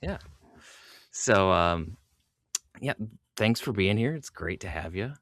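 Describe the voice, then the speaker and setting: A man with a low voice and a close, dry sound hosts a podcast. He talks in a casual, conversational interviewing style. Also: expressive, slow pace, fairly bright tone, no echo.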